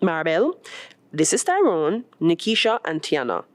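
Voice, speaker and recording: A woman voicing a friendly, outgoing young female office worker in a story reading, bright and inviting. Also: fairly low pitch, sing-song, normal speed, no echo. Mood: cheerful, sociable.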